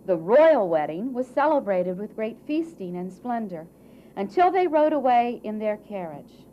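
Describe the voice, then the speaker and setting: A woman tells a fairy tale to children in a theatrical storyteller's style. Her medium-pitched voice stretches phrases and leans hard on key words. The live recording is dull, with faint noise behind her. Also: very animated. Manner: theatrical, drawn-out phrasing with emphatic swells and hushes. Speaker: storyteller narrating a puppet show. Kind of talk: dramatic children's fairy-tale narration read to an audience. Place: live puppet show before an audience.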